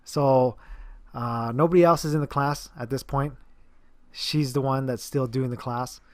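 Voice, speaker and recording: A man in his role as a personal trainer speaks casually and earnestly, circling back with fillers and false starts. His voice sits at an ordinary middle pitch. The sound is dry and close, with no room around it. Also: fairly even intonation, measured pace, dry recording. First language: English. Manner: rambling, many fillers and restarts, earnest. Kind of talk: casual talk to camera.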